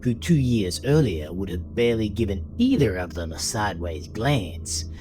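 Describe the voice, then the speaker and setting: A man's voice: on the low side, sing-song, normal speed, darkish tone. A man narrating a scripted story in a dramatic style, as the narrator of an audio drama. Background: music behind the voice.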